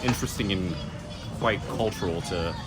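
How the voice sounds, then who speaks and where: A man's voice: low voice, normal speed, loud music behind the voice. A man vlogging casually outdoors on a busy city street, chatty and teasing. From United States. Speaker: travel vlogger. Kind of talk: casual walking vlog to camera. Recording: loud outdoor street noise. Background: loud traffic and street noise.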